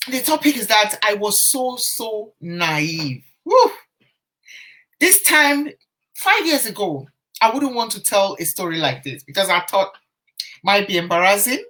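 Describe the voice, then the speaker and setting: A woman's voice: medium pitch, big pitch swings, takes it slowly, long pauses, bright, forceful tone, a little hiss or hum. A woman confidence coach telling a story on a casual live stream, chatting to viewers and restarting her phrases as she goes. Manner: chatty, greets viewers by name, frequent restarts. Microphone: phone or webcam on a live stream.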